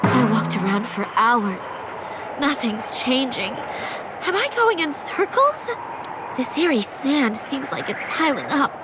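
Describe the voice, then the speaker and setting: A woman's voice: sits high, big pitch swings, takes it slowly, very noisy, full character voice. A woman playing a determined young female vampire heir in a game, speaking earnestly and with open emotion. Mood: dazed, weary. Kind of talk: dramatic game voice acting with narration.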